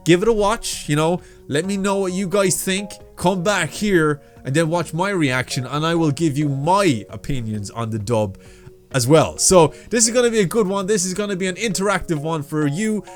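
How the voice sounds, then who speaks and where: A man's voice: a little high, animated, normal speed, faint music behind. A man hosting a reaction video, chatting casually and informally to his viewers, whom he treats as friends. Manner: informal, addresses viewers as dudes.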